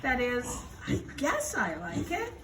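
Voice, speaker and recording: A woman's voice: high-pitched, huge pitch swings, takes it slowly, heavily acted. A woman voicing a naive young male pig in a children's story, plaintive and hesitant. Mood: hesitant, curious. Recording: noisy room, distant microphone.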